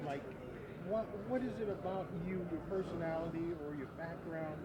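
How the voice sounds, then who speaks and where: High-voiced male interviewer in a crowd. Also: normal speed. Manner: wordy, winding questions. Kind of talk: street interview questions. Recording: loud crowd outdoors.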